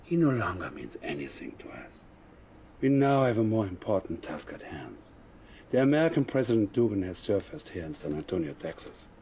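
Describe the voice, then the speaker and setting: An actor playing a sinister, scheming psychic advisor in a game cutscene, speaking softly and menacingly, often close to a whisper. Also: male voice, low voice, slight background noise. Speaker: Soviet military advisor. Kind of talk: video game cutscene acting.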